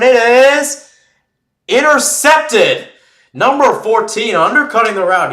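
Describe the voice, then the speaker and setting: A man's voice: mid-range voice, pushed and bright, roomy sound. A man calling live American football play-by-play for radio, chatting through asides and swelling on big plays.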